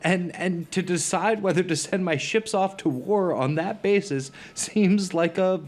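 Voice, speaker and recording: Man acting a flustered, stammering skeptical dinner companion. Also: high voice. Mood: earnest, flustered. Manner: halting, stammering, argumentative, emphatic. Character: earnest, skeptical, rationalist male dinner companion, wordy and flustered. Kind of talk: conversational audio drama acting.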